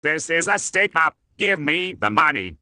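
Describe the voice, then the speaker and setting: A man's voice: fairly high pitch, sing-song, a little slow, pushed and bright, no room sound, studio quality, heavily acted. A man voicing a grumpy, contrary cartoon sidekick who talks in a curt, dismissive way. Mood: demanding. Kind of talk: cartoon commercial skit.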